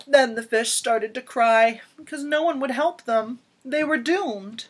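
A woman in her thirties reads a picture book aloud at home. Her voice sits a little high, and she tells it expressively, with dramatic emphasis and pauses. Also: slightly rough. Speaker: storybook reader. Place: small indoor room at home.

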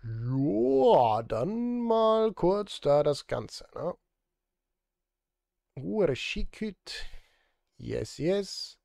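Male streamer, thirties, slightly high voice, informal and muttering to himself while working, close dry studio recording. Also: big pitch swings, drawn-out words, creaky, long pauses, warm tone, no echo. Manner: informal, thinking aloud, murmured asides. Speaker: livestreamer and film composer. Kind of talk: casual livestream chat, muttering while working. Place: small home music studio, indoors.

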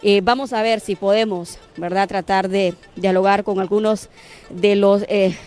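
A woman's voice: mid-range voice, fairly even intonation, unhurried, darkish tone, faint music behind. A woman in her thirties with a Honduran accent, giving a somber live field report outdoors into a handheld mic, with frequent fillers. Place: outdoors on a street by a barbershop. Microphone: handheld microphone.

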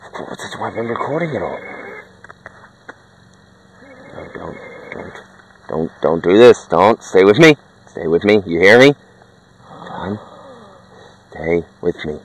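A man's voice: lowish voice, big pitch swings, very fast, a little hiss or hum, rough recording. A man playing a frantic survivor with a camera. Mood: grieving. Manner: frantic shouting and pleading. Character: panicked young male survivor filming the ordeal. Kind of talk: found-footage acting.

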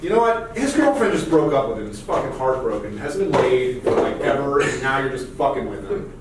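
A brash but loyal dude with very animated pitch, blunt and full of sweary slang, played by a man at a table read. He is heard at a distance in a very echoey room. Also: brisk, lightly acted. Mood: irritated, mocking. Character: Jackson, brash laid-back male buddy, loyal and mocking. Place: meeting room around a long table, indoors. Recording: echoey room, far mic, noisy.

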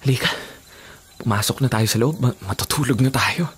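A man's voice: medium pitch, a little fast, husky. A man playing a brave, devoted young boyfriend with earnest melodrama in a Filipino radio drama. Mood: devoted, resolute. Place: small radio studio. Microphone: studio condenser microphone. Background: music bed.

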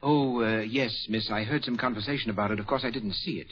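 An actor playing a curt, scheming manservant in an old radio drama. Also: male voice, lowish voice, a little fast, slightly rough. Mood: polite. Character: scheming manservant, young adult man. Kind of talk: 1940s radio acting. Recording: dull lo-fi old radio broadcast.

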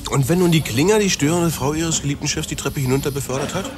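An actor in a radio play, playing a cocky junior detective who likes to speculate. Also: male voice, fairly high pitch, fast talker, music in the background, lightly acted. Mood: confident, speculating. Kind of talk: naturalistic German radio play acting.

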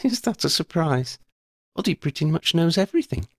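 A man's voice: on the high side, very animated, normal speed, husky, dry recording, clean studio sound, pitched above the performer's own voice, exaggerated voice. A man reading aloud and voicing a frank, protective teenage schoolgirl who speaks earnestly and reassuringly. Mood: wry. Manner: raised put-on voice, earnest and reassuring.

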